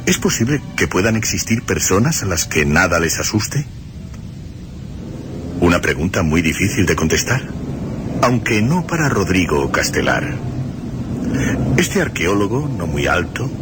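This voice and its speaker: A man narrating suspense in a brooding, rhetorical way with weighted pauses. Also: sits low, very animated. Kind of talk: dramatic scripted suspense narration. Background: music.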